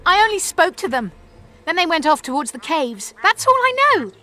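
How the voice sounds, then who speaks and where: A woman's voice: very high voice, sing-song, moderate pace, sharp tone, full character voice. An actress playing a defiant, desperate elf woman accused of spying in a video game. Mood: defensive.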